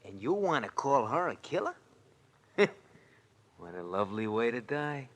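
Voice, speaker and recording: A man's voice: medium pitch, very animated, a little slow. An American actor playing a slangy, wisecracking working-class tough guy who grumbles, in old radio drama style. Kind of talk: 1940s-50s radio drama acting. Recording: hissy old-time radio recording.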